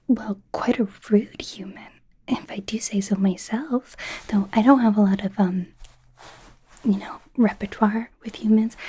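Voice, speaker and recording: A young woman voicing an awkward, flustered female werewolf student in a soft, whispery ASMR roleplay, stammering and rambling nervously. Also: medium pitch. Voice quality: soft, breathy, often whispery. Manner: nervous, stammering, rambling, indignant when embarrassed.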